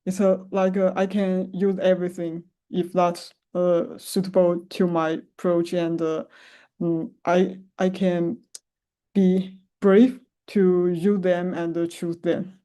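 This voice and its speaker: A woman's voice: lowish voice, little pitch movement, slow, dark tone. A woman presenting her project in an online class, speaking hesitantly with many fillers over a video call. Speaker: masterclass participant.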